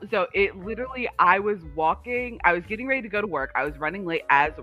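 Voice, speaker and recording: A man's voice: high voice, expressive, fast talker, lo-fi sound, faint music behind. A man co-hosting a livestream, chatting casually in a rambling, digressive way. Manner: chatty, digressive, talks to live viewers. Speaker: livestream co-host.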